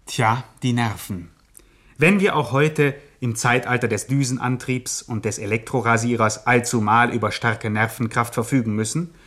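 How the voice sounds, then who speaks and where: An actor playing a wry, mock-serious narrator who addresses listeners floridly in a satirical gangster radio play. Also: male voice, mid-range voice, fairly bright tone, natural voice.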